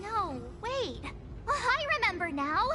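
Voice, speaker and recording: A woman's voice: very high-pitched, very animated, slow pace, exaggerated voice. A woman playing a bubbly, scatterbrained teenage schoolgirl adventurer in a video game, with a hesitant, exclamatory delivery. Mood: puzzled. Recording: game music behind the voice.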